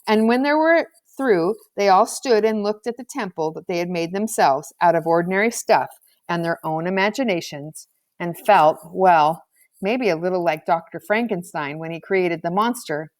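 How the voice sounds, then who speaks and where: A woman reading a children's novel aloud as scripted narration, in an expressive storybook manner. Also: on the low side, normal speed. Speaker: audiobook reader.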